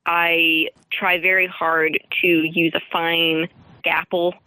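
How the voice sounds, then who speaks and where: A woman's voice: on the low side, flat delivery, slow, bright, forceful tone, smooth, dry recording, low-quality recording. A woman speaking earnestly and articulately as a guest author in an interview, with emphatic phrasing. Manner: earnest, articulate, emphatic, frequent light chuckles. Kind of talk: interview about writing historical fiction.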